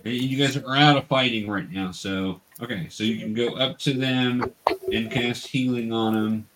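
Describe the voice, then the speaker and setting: A man's voice: mid-range voice, steady tone, normal speed, a bit bright, low-quality recording. A man acting as game master, casually and patiently explaining the rules of a tabletop game step by step.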